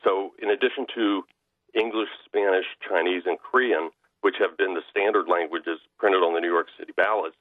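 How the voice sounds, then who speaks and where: Deep male voice, American, tinny phone call, halting political commentary, dry sound. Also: no room sound. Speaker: radio guest. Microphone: telephone. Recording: narrow tinny phone line.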